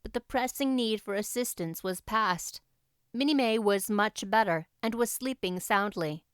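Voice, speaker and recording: Female audiobook narrator, smooth and crisp, slightly high pitch, dry sound, scripted literary storytelling. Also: unhurried, no room sound.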